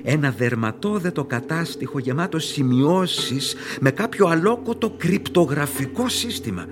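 An actor playing a brooding male narrator in a horror radio play, speaking dramatically and suspensefully with drawn-out pauses. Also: on the high side, expressive, close to an everyday voice. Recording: loud music under the voice.

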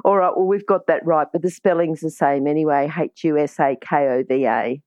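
Lowish-voiced woman hosting a chat. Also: fairly quick, darkish tone, no room sound, muffled recording. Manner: chatty, interjecting agreement. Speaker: podcast interview host. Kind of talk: casual, conversational interviewing.

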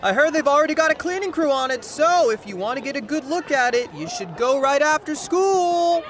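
A man's voice: very high-pitched, animated, fairly quick, a bit bright, slight background noise. A man playing a cocky, teasing teenage boy in an audio drama, with a sarcastic, naturalistic delivery. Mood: smug, needling.

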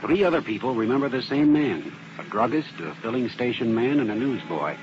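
An actor playing a seasoned arson expert in a 1950s radio drama, laying out the facts in a methodical way, on a muffled, hissy broadcast. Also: male voice, mid-range voice, expressive, a little fast, natural voice. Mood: explanatory. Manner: methodical, explanatory.

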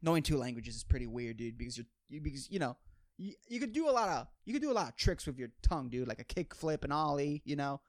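A man's voice: on the high side, big pitch swings, quick pace, no echo, clean studio sound. A man hosting a podcast, talking in a casual, rambling, slangy and profane comedic monologue, often repeating phrases for emphasis. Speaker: podcast host.

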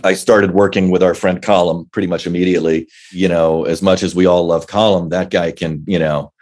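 A man in his forties with a bass voice, a guest actor chatting in a casual interview, rambling with many fillers and restarts. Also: steady tone, brisk, a little mellow. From Northern Virginia.

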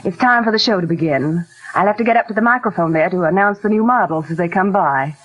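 A woman's voice: on the low side, quick pace, a bit bright, highs cut off. An actress playing a poised, gracious career woman and protective mother, refined and solicitous, in 1940s radio style. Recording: vintage 1940s radio recording.

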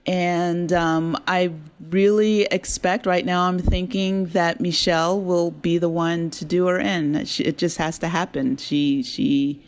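A woman's voice: fairly low pitch, fairly even intonation, a little slow, few pauses. Woman chatting casually in an interview. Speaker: audio drama creator being interviewed.

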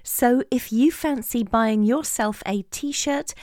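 A middle-aged woman reading a scripted podcast introduction in a measured way, hushed at phrase endings. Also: a little high, dry recording, studio quality. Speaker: podcast host and playwright.

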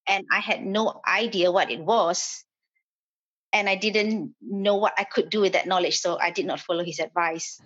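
A woman's voice: medium pitch, fairly even intonation, moderate pace, fairly bright tone, husky, lo-fi sound. A woman from Malaysia, a property developer, speaking as a podcast guest, hesitant with many fillers and restarts.

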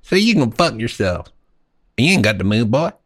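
A man's voice: mid-range voice, very animated, normal speed. A man voicing a cocky young redneck man who teases in a mocking drawl. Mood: teasing.

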